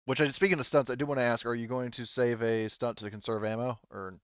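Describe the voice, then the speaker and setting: A man's voice: medium pitch, fairly even intonation, fast, clear, resonant tone, no echo, dull audio. A man speaking casually as game master of a tabletop roleplaying game, explaining rules and outcomes in informal, sweary talk.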